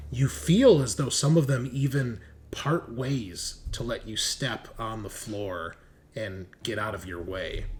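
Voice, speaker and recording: Low, measured man narrating a horror game. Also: slight background noise. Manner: descriptive, suspenseful storytelling. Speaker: game master.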